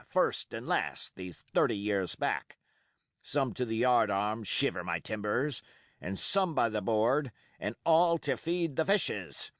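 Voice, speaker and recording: A gruff, crafty old pirate cook, as a male storyteller puts him on, low and commanding, with a slow, menacing drawl. His delivery rises and falls with threat, and the sound is close and dry. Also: dry recording.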